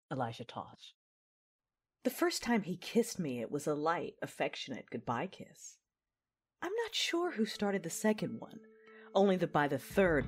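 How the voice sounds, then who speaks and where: A woman's voice: very deep voice, slightly rough, exaggerated voice. A woman acting as an intense, passionate Jedi knight, narrating her own story in a confessional, introspective audio drama style. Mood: teasing, tender. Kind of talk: first-person audio drama narration with dialogue.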